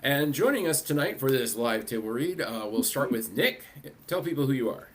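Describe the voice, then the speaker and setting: A man with a mid-pitched voice hosts a fan panel. He talks casually as he introduces the guests and keeps things moving. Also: lively intonation, moderate pace, a little hiss or hum. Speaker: panel host, convention track director.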